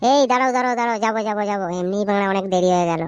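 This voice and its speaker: A man's voice: high voice, rapid-fire, loud music behind the voice, lightly acted. A man with a West Bengal accent voicing a sly, lecherous married man in an adult comedy cartoon, sweet-talking suggestively and backtracking. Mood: evasive. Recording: loud noise behind the voice.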